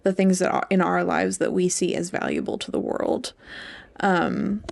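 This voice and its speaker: A young woman co-hosting a podcast in casual, affirming conversation, often saying "like", with a creaky voice. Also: lowish voice, flat delivery, moderate pace, darkish tone. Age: young adult. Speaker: podcast co-host, English PhD student.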